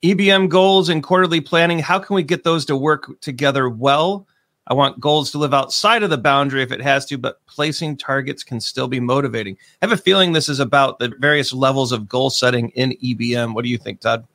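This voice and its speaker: A man's voice: mid-range voice, a little fast, a bit bright, no echo. A man hosting a podcast, talking casually and upbeat about work topics. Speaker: podcast host.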